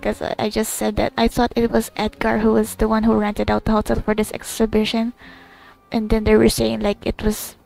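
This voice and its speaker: High-pitched, creaky-voiced young woman gamer. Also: a little fast, rough recording. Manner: rambling, bewildered, expressive. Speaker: gaming streamer. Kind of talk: casual game playthrough reaction. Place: game commentary with game music behind.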